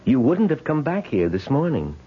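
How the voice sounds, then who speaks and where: An actor playing a coaxing American man in his twenties in a radio drama, talking slowly and teasingly. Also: mid-range voice, huge pitch swings, husky. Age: 20s. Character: smooth-talking 28-year-old man wooing a woman. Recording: muffled vintage radio broadcast.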